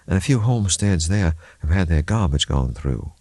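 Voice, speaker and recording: Troubled female officer read by a man, gentle, halting, slightly lifted pitch, close dry audiobook sound. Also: expressive, dry recording. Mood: earnest, uneasy. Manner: careful, hesitant, groping for words. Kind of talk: audiobook character acting.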